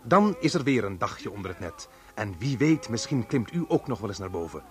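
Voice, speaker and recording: An actor playing a chummy, unctuous radio reporter doing mock live commentary in a radio drama. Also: male voice, fairly low pitch, very animated, brisk, faint music behind. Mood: smug sign-off. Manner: cheerfully unctuous, chatty. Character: smarmy, chummy male radio reporter at a grim spectacle.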